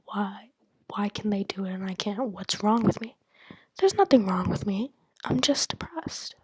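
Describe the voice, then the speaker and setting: A woman's voice: medium pitch, lively intonation, a little slow, a little mellow. A young woman whispering a candid, rambling monologue to the camera, full of "like" and "you know". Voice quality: breathy whisper. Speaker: vlogger.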